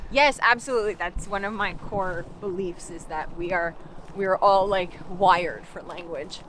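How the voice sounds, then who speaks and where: A woman's voice: fairly low pitch, normal speed, fairly bright tone, a little hiss or hum. Chatty Canadian-raised woman outdoors. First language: English. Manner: chatty, many "like" fillers and restarts. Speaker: Finnish language coach. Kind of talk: casual walking conversation. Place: outdoors in a park.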